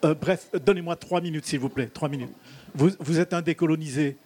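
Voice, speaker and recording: A man from the audience asking a question at an outdoor public talk, speaking formally and deliberately. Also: fairly high pitch, a little mellow. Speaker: audience member. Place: outdoor town square event.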